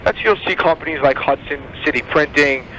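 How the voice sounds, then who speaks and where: A man working as a telemarketer, giving a sales pitch over a phone line. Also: brisk, pushed and bright. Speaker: telemarketer selling advertising. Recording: dull phone line, faint noise.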